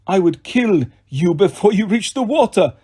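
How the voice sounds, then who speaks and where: A man's voice: high-pitched, lively intonation, normal speed, dark tone, husky, pitched above the performer's own voice. A man voicing a menacing, smug monster villain who talks in a formal, taunting way for a story. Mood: smug, threatening.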